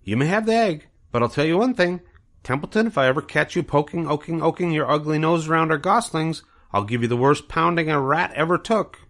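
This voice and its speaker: A man's voice: on the high side, fairly quick, a bit bright, pitched above the performer's own voice. A man voicing a protective, blustering gander who repeats his words, in a storybook. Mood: threatening. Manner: stutters syllables in repeats, threatening. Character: protective, blustering male gander, father of goslings.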